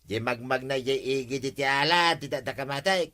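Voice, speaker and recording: A man's voice: medium pitch, brisk, sharp tone, natural voice. A man with an Ilocano accent acting a brash, mischievous village schemer in a comedy drama. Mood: scheming.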